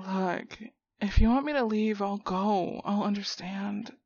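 A woman playing a foul-mouthed, hot-tempered but protective young friend in a one-sided audio roleplay drama, with a husky, breathy voice and slangy, direct delivery. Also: mid-range voice, slow pace, highs cut off. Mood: remorseful. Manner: slangy, profane, talking directly to a buddy.

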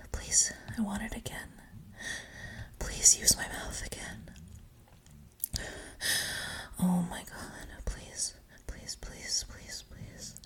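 A young woman speaking in a breathy, intimate whisper with soft sighs, close to the microphone. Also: mid-range voice. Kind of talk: whispered audio roleplay.

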